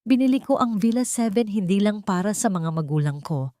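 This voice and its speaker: A woman's voice: mid-range voice, animated, brisk, mellow, no room sound, pitched above the performer's own voice, natural voice. A woman voicing a wronged wife in a dramatic story, cold, threatening and resolute as she accuses. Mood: accusing. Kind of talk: dramatic storybook dialogue reading.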